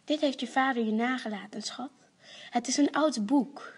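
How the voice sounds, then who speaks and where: High-pitched grandmother, played by a woman, caring, cautioning, affectionate, amateur radio play. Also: moderate pace, heavy background noise, close to an everyday voice. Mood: tender. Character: caring, protective old grandmother of a princess.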